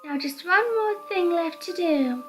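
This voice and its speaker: Game villainess, seductive and proud, high-voiced, condescending, lording it over a servant woman acting it out, music underneath. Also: lively intonation, measured pace. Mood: gloating, playful. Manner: gloating, commanding, condescending. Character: seductive, proud, malicious supernatural mistress claiming a servant.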